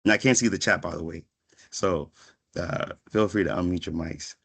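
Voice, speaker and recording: Guy in his 30s w a lowish voice, talking to students about his path, reflective, conversational motivational talk. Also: normal speed, vocal fry, a bit bright, husky. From born in Ghana, raised in Washington, D.C. area from age five. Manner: reflective, conversational, often checks in with "right?". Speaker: guest speaker, psychiatric pharmacist. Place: online video session.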